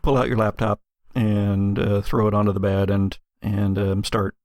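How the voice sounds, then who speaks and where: A man narrating a tabletop role-playing game as game master, improvising scenes in a halting way as he searches for words. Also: lowish voice, flat delivery, a little mellow, no room sound, clean studio sound.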